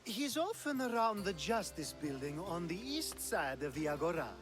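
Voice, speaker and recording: A man's voice: sits high, sing-song, normal speed, over music. Sly, flirtatious, swooping Greek nobleman. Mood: playful, persuasive. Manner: playful, teasing, theatrical. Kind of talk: video game cutscene acting.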